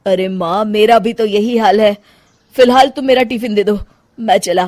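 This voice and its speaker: A woman's voice: mid-range voice, steady tone, moderate pace, a little mellow, no room sound, clean studio sound, close to an everyday voice. A woman voicing a meek, harried young husband in a cartoon comedy, servile and complaining. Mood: flustered. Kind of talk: Hindi cartoon comedy acting.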